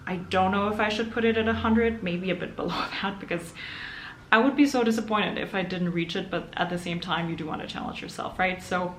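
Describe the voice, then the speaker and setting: A woman's voice: medium pitch, fairly quick, roomy sound, a little hiss or hum. A young adult woman whose first language is German, chatting upbeat in a reading vlog with light laughs. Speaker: book vlogger.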